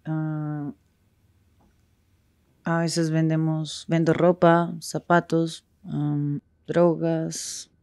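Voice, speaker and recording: A woman speaking frankly in a street interview, with halting pauses and restarts. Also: low voice, little pitch movement, a little mellow, no room sound, clean studio sound.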